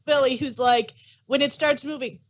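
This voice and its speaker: A woman's voice: high-pitched, fairly even intonation, moderate pace, poor audio. A woman co-hosting a podcast in casual chat, tossing in wry, playful asides. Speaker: podcast co-host. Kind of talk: casual chat, joking banter.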